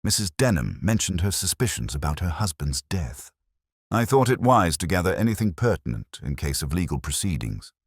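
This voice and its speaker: A man's voice: low voice, sing-song, moderate pace, breathy edge, no echo, studio quality, full character voice. A man voicing a polite but evasive professional under suspicion, guarded and defensive, in an audiobook mystery. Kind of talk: audiobook detective story dialogue.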